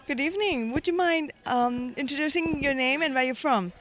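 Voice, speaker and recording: High-voiced woman interviewer, polite. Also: moderate pace, a bit bright. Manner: polite, scripted questions. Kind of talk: conference interview.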